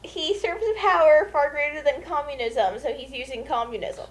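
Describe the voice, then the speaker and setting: A woman's voice: high-pitched, moderate pace. A woman doing casual comedic commentary in an emphatic, incredulous manner.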